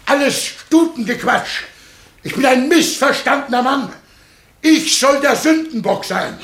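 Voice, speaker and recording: A man's voice: very high-pitched, animated, a little slow, fairly bright tone, heavily acted. An actor playing an evasive, ingratiating married man in a German radio drama, speaking with hesitant, wheedling stammers. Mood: flustered pleading.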